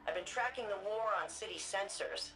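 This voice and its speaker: An actress playing a friendly, slightly awkward ally in a video game, with a casual manner. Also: female voice, medium pitch, brisk, a bit bright, far from the mic, close to an everyday voice, poor audio.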